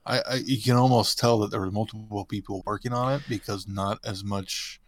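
A man talking casually as a podcast panelist, giving his opinions in a measured, even-handed way. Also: fairly low pitch. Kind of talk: casual review discussion.

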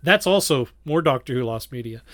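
A man's voice: medium pitch, a bit bright, no echo. Reflective man in close, dry sound. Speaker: podcast co-host. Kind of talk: casual analytical fan discussion.

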